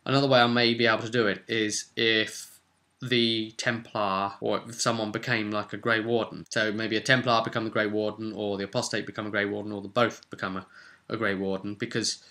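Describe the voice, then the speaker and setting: Man, British accent, slightly low pitch, bright forceful tone, casual rambling vlog monologue, chatty game master. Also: level tone, a little fast. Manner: chatty, thinking aloud.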